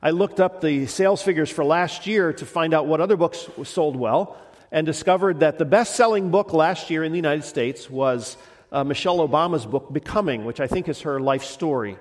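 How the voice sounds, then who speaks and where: A man's voice: fairly high pitch, moderate pace. A middle-aged male pastor with a slight head cold, preaching a sermon in a conversational, meandering way with frequent restarts. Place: church sanctuary. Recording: long reverb of a church hall.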